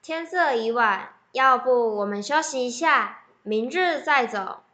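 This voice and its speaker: A woman's voice: fairly high pitch, lively intonation, a little slow, pushed and bright, muffled recording. A teenage girl playing a trusting elder ghost, a wanderer who is easily exasperated, in an amateur radio drama. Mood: gentle, tired.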